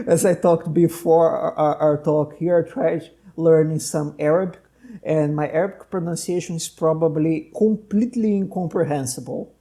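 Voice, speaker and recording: Male doctor-host, Portuguese native, talkative and digressive, mellow tone. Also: on the high side, gravelly. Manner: talkative, digressive, friendly laughs. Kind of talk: conversational podcast interview.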